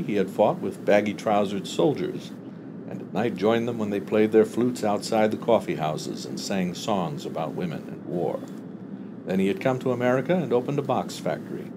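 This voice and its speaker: Male story reader, very low and animated, expressive literary narration over clear background noise. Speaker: audiobook reader.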